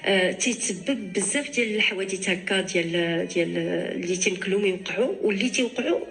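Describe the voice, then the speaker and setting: A woman's voice: medium pitch, fairly bright tone, soft background music. A woman doctor with a Moroccan accent, answering callers on a radio advice show, mixing in French and restarting often. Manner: fast Darija mixed with French, many restarts.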